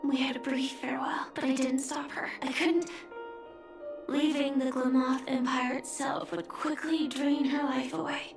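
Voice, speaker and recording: A woman voicing a grieving but resolute young knight in a video game, solemn, restrained and softly breathy. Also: high-pitched, level tone, unhurried. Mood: solemn, resigned. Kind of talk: dramatic video game voice acting. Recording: roomy echo, music underneath. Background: music.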